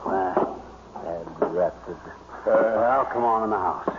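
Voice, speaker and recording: An American actor playing a boastful, folksy, wisecracking middle-aged duck hunter in 1950s radio comedy style. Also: male voice, low-pitched, slow pace. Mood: blustering excuses. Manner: folksy, blustering. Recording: dull noisy old radio recording.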